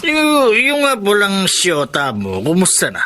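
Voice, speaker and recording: An actor playing a boisterous, nosy young Filipino drinking buddy in a radio drama. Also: male voice, high-pitched, wildly swooping pitch, measured pace, pushed and bright, full character voice. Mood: jovial, teasing.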